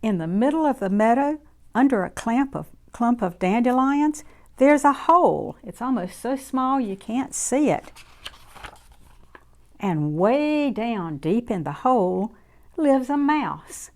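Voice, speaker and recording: A woman reading a picture book aloud to young children in an expressive, deliberate, sing-song way. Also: fairly high pitch. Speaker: children's story reader.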